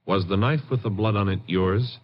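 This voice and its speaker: An actor with an American accent playing a firm, methodical police polygraph technician in a vintage radio drama. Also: male voice, lowish voice, normal speed, natural voice. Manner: firm, plain questioning. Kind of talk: 1950s radio drama acting. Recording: muffled, noisy vintage radio.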